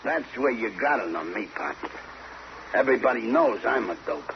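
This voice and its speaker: Dim lovesick young boxer, rough American slang, gravelly, swinging pitch, radio crime drama, noisy recording. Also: male voice, animated, normal speed, highs cut off. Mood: brooding. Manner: rough uneducated slang speech. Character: hot-tempered, dim young prizefighter, lovesick. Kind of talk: old-time radio crime drama acting.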